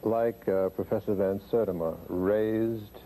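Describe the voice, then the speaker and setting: A man's voice: on the low side, steady tone, unhurried, warm tone. A man hosting a panel interview, putting short, probing questions to his guests. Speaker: interviewer, host. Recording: muffled, noisy old radio-style recording.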